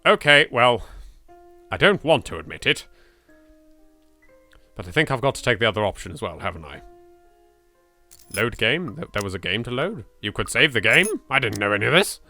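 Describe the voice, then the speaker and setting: An English man narrates and reacts to a video game. His delivery is dramatic and sweary, with a sharp, bright tone and very animated pitch, over soft background music. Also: moderate pace, long pauses. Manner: theatrical, exasperated, sweary. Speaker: gaming video host. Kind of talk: let's play commentary with dramatic reading aloud.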